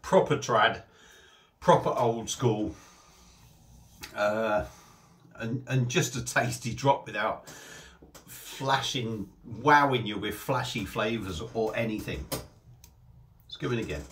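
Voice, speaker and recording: A man's voice: on the low side, slow, pauses often, fairly bright tone, a little hiss or hum. Man chatting about beer. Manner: chatty, repeats himself, restarts phrases. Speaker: beer reviewer. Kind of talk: casual beer review to camera. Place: small home room with shelves.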